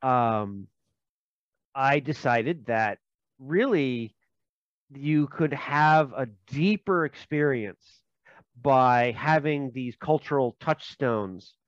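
Man from Minnesota, halting and joking. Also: medium pitch, no room sound, lo-fi sound. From Minnesota, USA. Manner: halting, many fillers and restarts, joking. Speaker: guest, game designer. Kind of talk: casual interview chat. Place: home.

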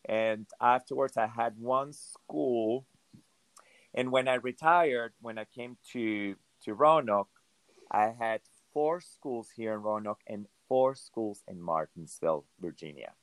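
A middle-aged man with a Venezuelan accent, a ballet director speaking in an interview, halting and repeating himself, with many "you know"s. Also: medium pitch, no echo, low-quality recording. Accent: Venezuelan Spanish. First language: Hungarian. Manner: halting, repeats words and restarts, says "you know" often. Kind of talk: conversational interview.

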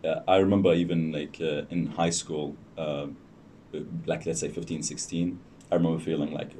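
Young man, low dark voice, halting guest; distant mic, faint noise. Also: flat delivery. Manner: hesitant, many ums and restarts. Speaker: podcast guest. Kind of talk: casual interview chat.

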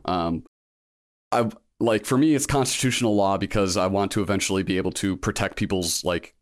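A man's voice: deep voice, dry recording, clean studio sound. A man in his thirties, a guest in a casual interview, talking in a reflective, rambling way with many fillers and restarts. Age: 30s. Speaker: guest, law school applicant.

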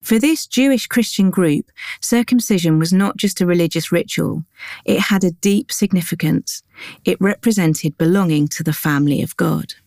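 A woman giving a calm, measured scripted devotional reading that moves into a guided prayer. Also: fairly low pitch, dry recording, studio quality. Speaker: devotional reader.

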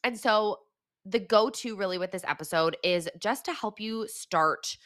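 A young woman hosting a podcast, chatting frankly with fillers, her voice raspy and congested from a cold. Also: medium pitch, unhurried, fairly bright tone. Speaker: podcast host. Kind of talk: casual solo podcast talk; scripted intro over music.

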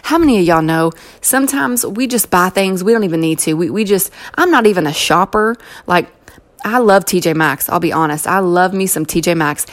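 A woman's voice: lowish voice, brisk. Encouraging American woman speaker. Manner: conversational, encouraging, emphatic repetition. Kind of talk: inspirational talk to an audience.

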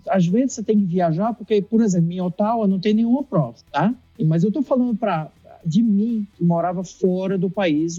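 Man from Recife, husky, dental guest spinning stories, lo-fi dry sound. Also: high voice, no room sound. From Brazil, Recife. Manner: animated, acts out dialogue, laughs often. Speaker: dentist, interview guest. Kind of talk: casual storytelling in an interview.

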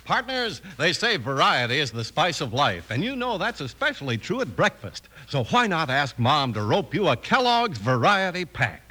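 A man's voice: on the high side, big pitch swings, moderate pace, few pauses, sharp tone. A man with an American accent doing scripted announcing and ads in 1950s radio style. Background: music.

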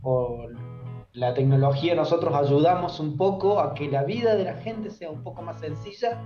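A man with an Argentine accent telling anecdotes casually as an interview guest, pausing and repeating words as he thinks. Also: on the high side. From Córdoba, Argentina. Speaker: guest, software developer. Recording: dull, echoey sound with music behind. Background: guitar music.

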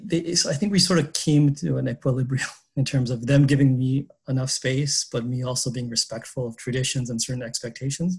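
A middle-aged man who grew up in Canada, answering interview questions thoughtfully with frequent pauses and restarts. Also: mid-range voice, breathy edge. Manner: hesitant, many fillers and restarts, laughs softly. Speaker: interview guest.

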